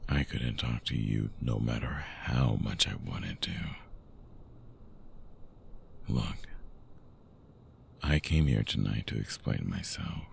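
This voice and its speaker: A man playing a remorseful, lovesick vampire ex-boyfriend in an intimate ASMR roleplay, husky and breathy, hesitant and pleading, close on the mic. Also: rumbling low, slight background noise, exaggerated voice. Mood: soft, apologetic. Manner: hesitant, soft-spoken, pleading. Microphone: close binaural microphone, dry.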